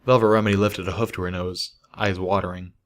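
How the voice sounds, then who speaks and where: A man with a fairly low voice narrates a first-person story. He reads from a script as an audiobook narrator. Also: level tone, fast talker. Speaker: audiobook reader of fan fiction.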